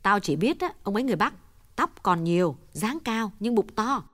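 A man voicing a blunt, irritable young woman in a curt falsetto. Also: very animated, fairly quick, a bit bright, exaggerated voice. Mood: irritated. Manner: high falsetto, curt and snappish.

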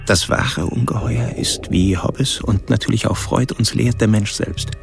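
An actor playing a philosophical serial killer who delivers a measured, literary inner monologue in an audio drama. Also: male voice, on the low side, gravelly. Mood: brooding, reflective. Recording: prominent music under the voice.

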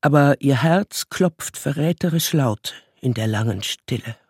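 A man narrating a radio play as storyteller of a child's magical world, with measured, dramatic phrasing. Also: mid-range voice, animated, darkish tone, slightly rough, dry recording, clean studio sound. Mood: storytelling.

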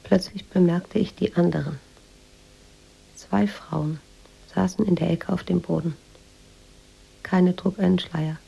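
A woman's voice: lowish voice, steady tone, a little slow, long pauses, warm tone, full character voice, low-quality recording. An actress playing a weary, introspective woman writer who narrates a radio drama in a subdued, reflective way. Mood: pensive, uneasy. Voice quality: husky, creaky.

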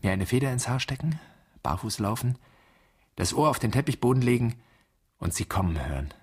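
Husky, very varied pitch, restless doubting son, searching delivery, poetic radio drama. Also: male voice, big pitch swings, a little fast. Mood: urgent remembering. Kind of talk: poetic radio play monologue.

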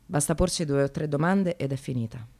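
An actress playing a bitter, wry, educated receptionist in precarious work, delivering an expressive theatrical radio monologue. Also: female voice, deep voice, fairly quick, creaky. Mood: bitter, reflective. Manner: sardonic, expressive, swearing, addressing the audience.

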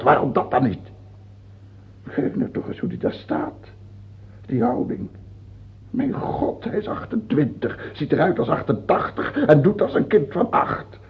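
A man's voice: mid-range voice, vocal fry, slightly rough, full character voice. An actor playing a scheming, imperious aging French king in a radio play, theatrical, moving between murmured musing and scornful outbursts. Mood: bitter musing. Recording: dull, muffled old radio recording.

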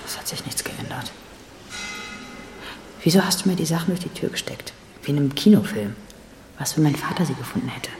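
An actress playing a weary, protective woman in a radio play, speaking in a hushed, guarded, intimate way. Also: low voice, animated, fairly quick, pauses often, a little hiss or hum. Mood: tense. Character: weary, protective adult woman caring for a child. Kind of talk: radio play acting, intimate inner monologue.

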